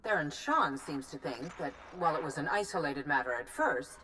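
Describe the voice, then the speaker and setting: A sharp, commanding stateswoman in a game. Her low voice moves widely in pitch as she questions formally, with faint ambient noise around her. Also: lively intonation, normal speed, poor audio. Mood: demanding. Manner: formal, interrogating. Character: stern female political leader, sharp and commanding. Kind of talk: video game dialogue acting.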